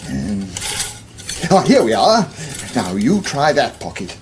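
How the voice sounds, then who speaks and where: Dithering old wizard, swooping voice. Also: male voice, medium pitch, wildly swooping pitch, slow pace, a little hiss or hum. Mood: excited, dithering. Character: elderly, absent-minded, stubborn male wizard. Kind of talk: comic fantasy radio drama acting.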